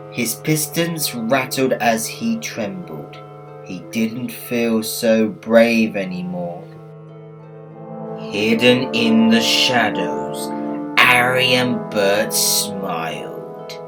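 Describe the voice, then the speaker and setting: A man reading a children's story aloud as a read-along, in a deliberate, expressive storytelling manner. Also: on the low side. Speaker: storybook narrator. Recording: music bed behind the voice. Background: music.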